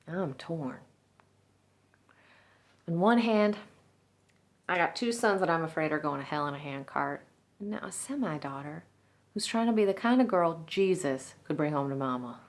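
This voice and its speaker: A woman acting out a Southern salon owner in a stage drama, warm-hearted and gossipy. Her voice sits a little low, and she confides in a folksy way, sometimes breathy. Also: expressive, normal speed, long pauses. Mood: torn, wistful.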